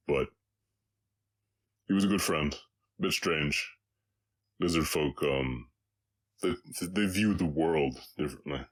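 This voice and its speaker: A man playing a gentle, weary orc cowboy with a slight Southern accent, speaking haltingly and reflectively to a listener in an intimate roleplay audio drama. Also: very deep voice. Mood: fond, bashful. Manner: halting, reflective, soft-hearted, pausing often.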